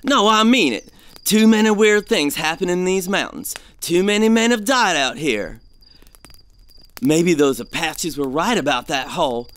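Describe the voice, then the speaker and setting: A man's voice: high-pitched, animated, a little slow, fairly bright tone. A man playing a fearful, superstitious gold prospector with a folksy, haunted delivery in an audio drama. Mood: ominous.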